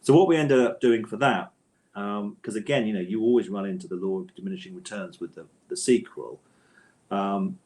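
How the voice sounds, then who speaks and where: A man's voice: fairly low pitch, a little fast, darkish tone. A man speaking as an interviewed author in a conversational, discursive way, often interrupting himself and repeating words.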